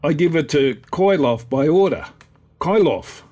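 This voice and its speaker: An actor playing a bustling foreign ship captain in a radio drama, brisk, with broken-English phrasing. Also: male voice, a little high, lively intonation, dark tone, soft background music. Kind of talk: character acting in radio drama.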